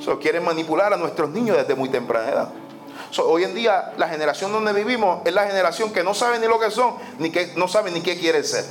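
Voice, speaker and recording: A man's voice: high voice, brisk. A man preaching a sermon, emphatic, repeating phrases and dropping in the English word "so". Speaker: pastor. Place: church sanctuary.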